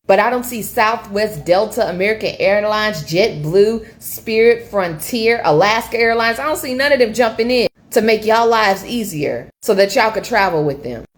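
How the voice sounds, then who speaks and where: A woman in a casual social media rant, speaking colloquially and with clear disapproval. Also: mid-range voice.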